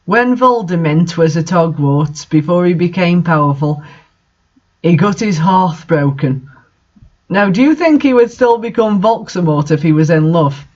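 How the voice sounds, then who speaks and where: A man's voice: high-pitched, expressive, a little slow, darkish tone, dull audio. A man voicing a grave female fortune-telling professor who instructs solemnly in a story reading.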